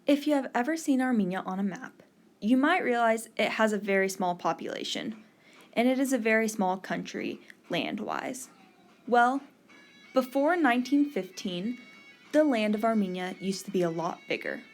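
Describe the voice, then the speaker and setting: A woman's voice: medium pitch, sing-song, normal speed, soft background music. A young woman from Texas hosting a podcast, giving an earnest scripted narration. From Texas, USA. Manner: earnest, read-aloud delivery. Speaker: podcast host.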